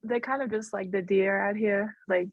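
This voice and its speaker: A woman's voice: medium pitch, fairly even intonation, normal speed, no room sound, narrow, tinny audio. A young woman, a college basketball player, chatting casually as a guest on a video call, laughing easily between loose phrases. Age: young adult. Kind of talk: casual interview chat.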